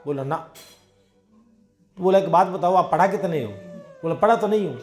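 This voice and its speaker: High-voiced man preaching a homely sermon. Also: normal speed, darkish tone, soft background music. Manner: conversational storytelling, acts out dialogue in his own voice. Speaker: satsang preacher. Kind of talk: devotional sermon with everyday parables.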